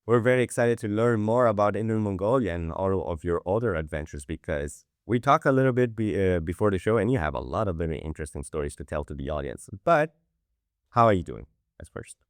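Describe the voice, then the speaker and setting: A man hosts a podcast in a relaxed, chatty way, peppering his speech with "like". His voice sits very low. The sound is dry and close to the mic. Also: brisk, no echo. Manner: loose, lots of "like" fillers. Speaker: podcast host. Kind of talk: casual podcast chat.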